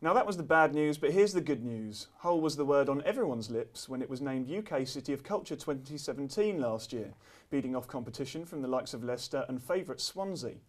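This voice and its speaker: A man's voice: medium pitch, lively intonation, brisk, few pauses. A man presenting a news review in scripted narration.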